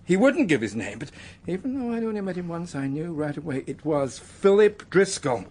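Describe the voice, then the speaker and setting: An indignant, nervous man under police questioning in a radio play. His voice is high and husky and swings widely as he defends himself with agitated emphasis. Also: very animated, normal speed, a little mellow. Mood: defensive, nervous. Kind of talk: radio drama acting.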